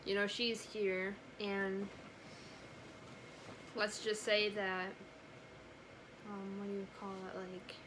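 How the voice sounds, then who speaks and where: A woman's voice: mid-range voice, little pitch movement, slow pace, long pauses, background noise. A young woman telling a personal story casually to camera, with lots of "like" and "you know" in her talk. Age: young adult. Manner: conversational, frequent "like" and "you know". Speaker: family vlogger.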